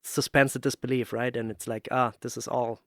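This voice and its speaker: A man's voice: mid-range voice, level tone, fairly quick, fairly bright tone, no echo, studio quality. A man hosting a casual interview, telling his own experiences and acting out his reactions. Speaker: podcast host.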